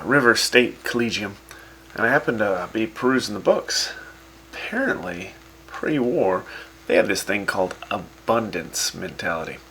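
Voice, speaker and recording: A man's voice: fairly low pitch, a little hiss or hum, low-quality recording. A man voicing a brash, opinionated radio host who teases his guests and makes swaggering speeches, in a fan radio drama. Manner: swaggering, teasing, lively jokes and speeches. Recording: music playing behind.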